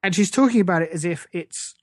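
A man's voice: high voice, fairly quick, no echo, studio quality. An English man hosting a podcast in a casual comic rant, sweary, scornful and sarcastic. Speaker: podcast host.

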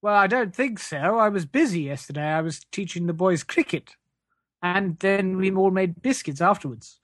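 A man's voice: sits high, normal speed, no echo, studio quality, close to an everyday voice. Man playing a shifty, rambling British reverend. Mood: blithe, rambling. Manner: hesitant, evasive, rambling, falsely polite. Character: shifty, menacing, blithely shameless parish reverend. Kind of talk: improvised comedy sketch.

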